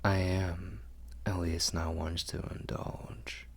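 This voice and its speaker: A man playing a protective, doting vampire lord in an intimate ASMR roleplay, with courtly phrasing and a breathy, gravelly, soothing voice. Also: deep voice, little pitch movement, slow, exaggerated voice. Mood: calm, protective.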